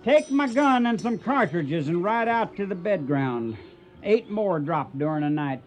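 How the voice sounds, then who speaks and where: An actor playing a gruff, hot-tempered trail boss in a radio western, with a folksy American Western drawl and an emphatic, commanding way of talking. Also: male voice, sits high, animated, measured pace, faint music behind. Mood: brisk. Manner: folksy, emphatic, barking orders.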